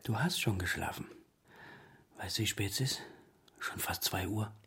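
Creaky, wry, tired father. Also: lowish voice, moderate pace, pushed and bright, whispered, heavily acted. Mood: tired, gruff. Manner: dry, laconic, understated. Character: wry, tired composer father, gruff but tender. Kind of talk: German radio play for children.

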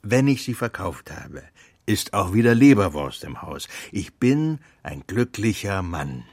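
An actor in a children's radio play playing a humble, good-natured old puppet maker who speaks hesitantly, muttering interjections. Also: male voice, low voice, very animated, unhurried, darkish tone, full character voice. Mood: bemused, grumbly.

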